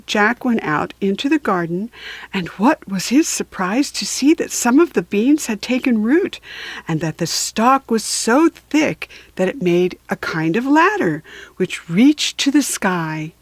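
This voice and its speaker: A woman reading a children's storybook aloud for beginning readers, deliberate and patient, with chatty asides to listeners. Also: mid-range voice, dry recording. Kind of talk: slow read-aloud storybook narration for beginners.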